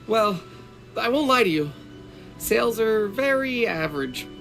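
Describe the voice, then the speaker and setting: Humble, nervous vendor for a game. Deferential, very lively pitch. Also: male voice, unhurried, vocal fry, soft background music, full character voice. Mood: apologetic. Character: humble male drink vendor, nervous and polite. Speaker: shopkeeper.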